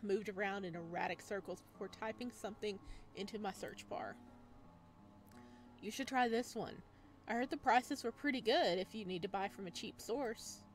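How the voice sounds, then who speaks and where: A woman's voice: medium pitch, moderate pace. Chatty woman narrating over background music. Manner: chatty, reads aloud with asides. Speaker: gaming video host. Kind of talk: visual novel let's play, reading text aloud with casual commentary.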